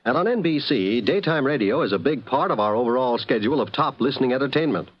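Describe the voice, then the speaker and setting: A man's voice: mid-range voice, lively intonation, quick pace, poor audio. A man with an American accent reading a scripted 1950s network radio promotion as the announcer.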